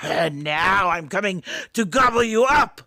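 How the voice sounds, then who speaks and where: A woman's voice: medium pitch, animated, bright, forceful tone, no room sound, studio quality, pitched above the performer's own voice. Great ugly storybook troll, male bully, roaring threats, swooping delivery female narrator acting it out. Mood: menacing. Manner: roaring, menacing, drawn-out demands. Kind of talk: storybook character voice.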